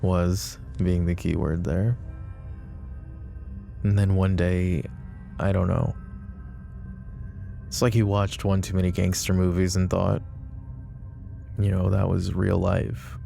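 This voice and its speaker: A man playing a protective, possessive mafia boss in an intimate roleplay ASMR, speaking deliberately and broodingly straight to the listener. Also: deep voice, level tone, vocal fry, warm tone, soft background music, heavily acted. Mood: grim, regretful.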